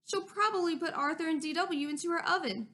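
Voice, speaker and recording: A woman's voice: very high-pitched, brisk, sharp tone, pitched above the performer's own voice. A woman reading a storybook aloud, voicing a timid, sweet young girl who speaks in a breathless, wide-eyed way. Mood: awed.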